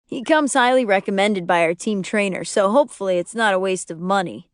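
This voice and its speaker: A woman's voice: mid-range voice, a little fast, no echo, close to an everyday voice. A woman narrator plays a young father who jokes and teases. The delivery is easygoing and playful, as character dialogue in an audiobook, with a dry, close sound. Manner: playful, teasing.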